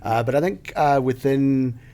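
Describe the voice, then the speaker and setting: A man's voice: medium pitch, measured pace, warm tone. A man from Zambia answering interview questions in a studio, thoughtful, with frequent fillers and restarts. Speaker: guest, venture capital partner.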